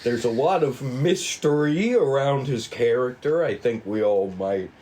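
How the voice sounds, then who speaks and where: A man getting over a cold, co-hosting and explaining in a casual, rambling, halting way. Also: mid-range voice, a little mellow. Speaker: co-writer and co-host introducing a script table read.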